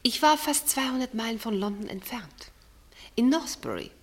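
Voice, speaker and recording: An actress playing a shrewd, probing woman with an arch, deliberate manner in a German radio drama. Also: a little high, expressive. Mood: knowing. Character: shrewd, needling woman exposing a man's secrets.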